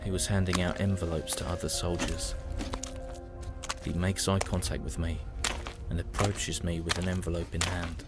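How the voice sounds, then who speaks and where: Casting: male actor as weary young British Great War soldier, lowish voice, measured and reflective journal monologue over prominent music. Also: little pitch movement, natural voice. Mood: quiet, wistful. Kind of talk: dramatic first-person audio drama monologue.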